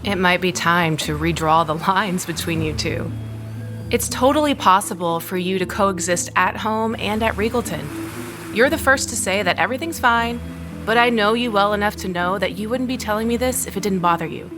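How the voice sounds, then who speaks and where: Actress as supportive female confidante, soap opera dialogue, gentle and earnest, medium pitch, music bed. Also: normal speed, lightly acted. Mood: caring, reassuring. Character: caring married woman, supportive confidante and colleague. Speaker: actor in audio soap opera. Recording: studio drama with music underneath.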